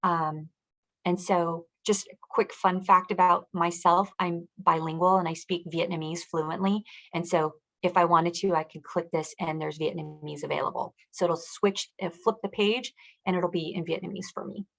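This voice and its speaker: A woman with a slightly low voice gives an informational presentation. She explains things step by step, in the instructional manner of a school district director guiding an audience through web pages. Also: fairly even intonation, moderate pace, vocal fry, fairly bright tone, low-quality recording.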